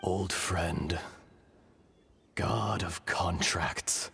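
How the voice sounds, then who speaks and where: A man playing an ancient earth dragon in human form in a video game, solemn and philosophical, speaking in grave, measured, poetic phrases. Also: sits low, gravelly, pushed and bright, slightly rough, full character voice. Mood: solemn, resigned.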